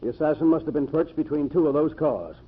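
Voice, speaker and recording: A self-important male county sheriff, played by an actor, speaks stiffly and precisely in a slightly high voice. It has the thin, phone-like sound of 1940s radio drama. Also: quick pace, natural voice. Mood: businesslike. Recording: thin, band-limited old radio sound.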